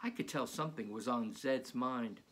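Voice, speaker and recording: A man reading aloud as a children's author, in an animated storybook style. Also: medium pitch, moderate pace, breathy edge.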